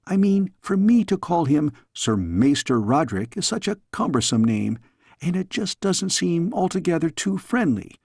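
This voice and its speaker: A man's voice: fairly high pitch, very animated, moderate pace, vocal fry, darkish tone, husky, dry recording, studio quality, pitched above the performer's own voice, heavily acted. A man voicing a young princess, an earnest, chatty and headstrong daughter in a storybook. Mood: breezy, chatty.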